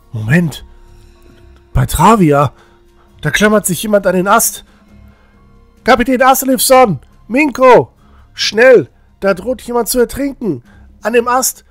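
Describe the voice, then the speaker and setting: A man's voice: high voice, fairly bright tone, no room sound. A man playing an earnest, selfless teenage boy in a fantasy audio drama, urgent and imploring with hesitant stammers. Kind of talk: fan-made fantasy audio drama acting.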